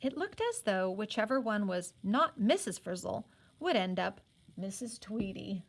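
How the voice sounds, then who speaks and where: A woman reading a children's novel aloud as a teacher would, in an expressive storybook style. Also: medium pitch, measured pace. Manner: acts out the characters' speech.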